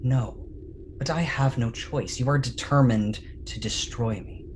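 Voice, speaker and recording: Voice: mid-range voice, noisy background, lightly acted. An actor playing Frankenstein's creature, tormented and pleading with its maker in a dramatic staged reading. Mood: grave, resigned. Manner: slow, deliberate, imploring.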